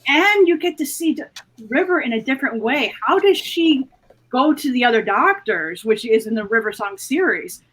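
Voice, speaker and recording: A woman's voice: a little high, lively intonation, moderate pace, a bit bright, slightly rough. A woman speaking as a podcast panelist, recommending favourites in casual chat.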